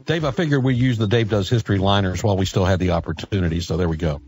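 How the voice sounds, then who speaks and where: A man's voice: low-pitched, very fast, darkish tone, soft background music. A man hosting a live radio talk show in a casual, conversational style.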